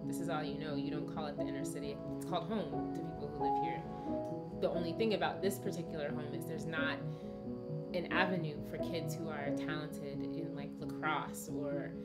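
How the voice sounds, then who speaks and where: A middle-aged woman, a dance teacher, speaking in an earnest, measured, reflective way as if in a documentary interview. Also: deep voice, steady tone. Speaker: dance teacher and founder of a youth ballet program. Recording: loud guitar music underneath. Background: plucked guitar music.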